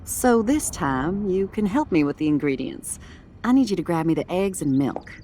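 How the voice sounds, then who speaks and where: A woman with an American accent playing a warm, wry middle-aged mother in a video game, talking in a folksy, motherly, teasing way. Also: on the low side, sing-song, moderate pace, a little hiss or hum. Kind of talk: video game acting, conversational dialogue. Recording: music under the voice. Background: background music.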